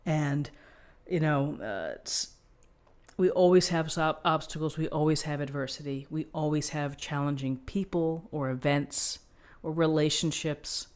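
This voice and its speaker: A woman giving an unscripted tarot reading, explaining things in a gently encouraging manner. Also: low-pitched, unhurried. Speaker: tarot reader.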